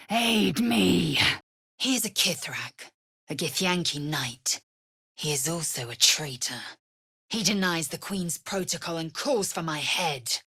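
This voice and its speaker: A woman's voice: fairly low pitch, creaky, pushed and bright, breathy edge, dry recording, studio quality, full character voice. A woman playing a proud, fierce alien warrior in a fantasy video game, with a curt, imperious and disdainful delivery.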